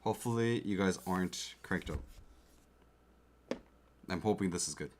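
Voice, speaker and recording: Guy streaming a keyboard build, kinda low voice, chatty, desk mic w/ faint typing clicks behind. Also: moderate pace, a little mellow, slight background noise. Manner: chatty, checks in with viewers often. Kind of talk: casual livestream chat. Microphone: desk mic close to the keyboard.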